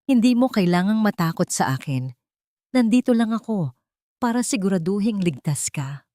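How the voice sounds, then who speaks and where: A woman narrator voicing a gentle, protective, humble handyman in an audio drama, calm and respectful. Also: mid-range voice, normal speed, dry recording, studio quality, lightly acted.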